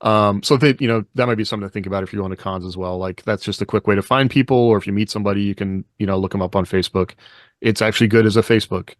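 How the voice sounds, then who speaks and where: A man's voice: on the low side, steady tone, rapid-fire, no room sound, clean studio sound. A man co-hosting a podcast in casual, conversational chat. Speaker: author and podcast co-host.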